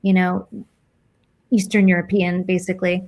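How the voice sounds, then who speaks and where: A woman's voice: fairly low pitch, little pitch movement, moderate pace, darkish tone. A woman from the United States with an American accent, talking casually and reflectively as an interview guest, informal and thinking aloud as she goes.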